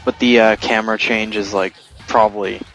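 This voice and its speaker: A man's voice: on the low side, little pitch movement, moderate pace, a bit bright, soft background music, low-quality recording. A man giving casual group commentary on a video game, explaining things in a rambling, hesitant way. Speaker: gaming commentator.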